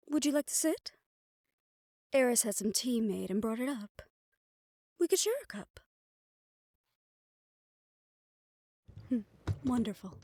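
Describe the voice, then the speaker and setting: Woman acting a mellow, softly teasing reformed villainess doctor. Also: on the high side, breathy edge, no room sound, full character voice. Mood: gently welcoming. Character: reformed obsessive female doctor, teasing, probing, quietly caring. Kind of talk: fantasy audio roleplay acting.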